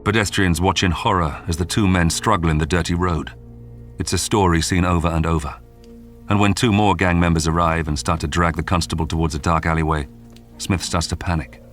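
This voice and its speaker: A man reading scripted true-crime narration in a measured, dramatic way with suspenseful pauses. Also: low-pitched, fairly even intonation. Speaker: documentary narrator. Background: background music.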